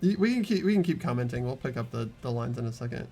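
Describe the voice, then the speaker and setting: A man's voice: medium pitch, lively intonation, fast, dark tone, soft background music. A young man hosting a group game playthrough, moving between reading narration and casual commentary.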